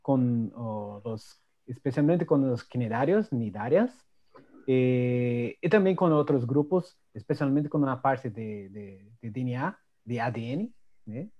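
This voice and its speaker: Portuguese-accented man, halting Spanish. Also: mid-range voice. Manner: halting, searching for words. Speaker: panel speaker, zoologist. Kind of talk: video-call panel talk. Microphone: video call. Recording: dull lo-fi sound.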